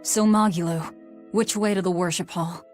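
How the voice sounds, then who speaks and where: A gloomy young woman assassin voiced by an actress with a lowish voice. She is curt, blunt and impatient, delivering scornful video game dialogue. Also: steady tone, moderate pace, a little mellow, faint music behind, natural voice. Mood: irritated, scornful.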